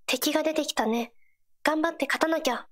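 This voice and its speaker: A woman voicing an earnest, supportive teenage girl companion in a game, gentle and encouraging in short scripted lines. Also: high voice, moderate pace, lightly acted. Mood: earnest, determined. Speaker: game character voice actor.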